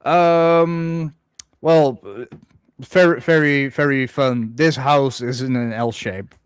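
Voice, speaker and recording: A man from the Netherlands, hosting a casual livestream chat in a droning, halting way. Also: medium pitch, clear, resonant tone, no echo. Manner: hesitant, many restarts, self-described droning monotone. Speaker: livestream host.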